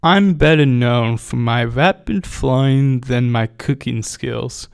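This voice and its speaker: A man's voice: medium pitch, creaky, smooth. A man voicing a devoted, reassuring young male pony sweetheart in an earnest way for a fan fiction story. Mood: affectionate. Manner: slow, earnest delivery.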